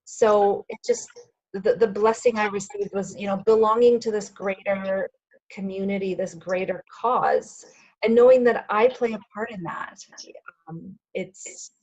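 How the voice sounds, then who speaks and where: A woman's voice: medium pitch, a little slow, a little mellow, muffled recording. A woman co-hosting a podcast, talking in an earnest, searching way. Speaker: podcast co-host. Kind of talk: casual chat.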